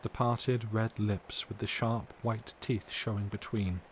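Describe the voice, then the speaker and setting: A man voicing a distressed but tender and brave young wife, recounting in an earnest, measured, formal way for an audiobook. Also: on the low side, lightly acted. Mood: troubled recounting. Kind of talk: dramatic audiobook character reading. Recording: steady background noise.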